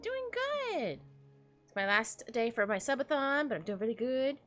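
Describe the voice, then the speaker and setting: Young woman streaming a game, chatty and giggly, voice goes all over the place, bit high. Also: sing-song, normal speed, a bit bright, soft background music. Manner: chatty, giggly, greets viewers. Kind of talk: casual livestream chat.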